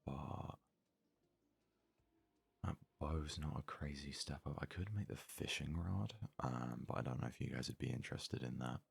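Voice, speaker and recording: A man doing hushed ASMR gaming commentary with a gravelly, husky voice, casually musing aloud close to the microphone. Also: extremely low pitch, normal speed, dry recording. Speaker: let's play host.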